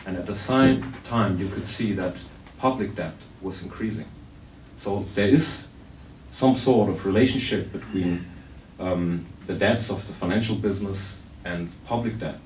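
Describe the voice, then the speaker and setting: Low-voiced man, sore throat, soft but blunt, lecturing a crowd, roomy far-off hall sound. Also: unhurried. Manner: blunt, wry, with frequent restarts. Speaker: speaker at a public debate. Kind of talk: informal speech to an audience. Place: hall with an audience. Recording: roomy, distant hall recording with faint noise.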